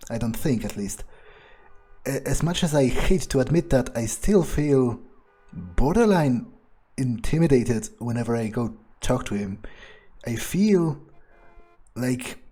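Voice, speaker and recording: A man voicing an arrogant, cruel, brooding vampire in a video game roleplay, speaking haltingly with haughty menace. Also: medium pitch, warm tone, husky. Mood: brooding, resentful. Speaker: role-playing game streamer. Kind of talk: in-character game playthrough monologue.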